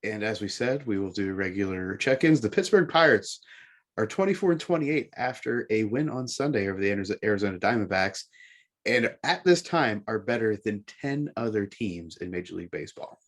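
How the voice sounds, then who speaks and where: A man with a fairly low voice co-hosts a sports talk show. He recaps the news in a measured, halting way, repeating words as he goes. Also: expressive.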